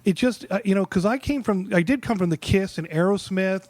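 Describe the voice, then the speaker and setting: 40ish guy with a pretty high voice telling band stories, rambly and excited, dry mic. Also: fairly quick, no room sound. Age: 40s. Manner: rambling, enthusiastic, repeats words as he restarts. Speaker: musician guest. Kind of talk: casual storytelling.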